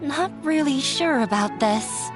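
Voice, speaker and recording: A woman's voice: high voice, lively intonation, measured pace, over music. A woman playing a shy, self-doubting girl in a video game, timid and hesitant. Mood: anxious.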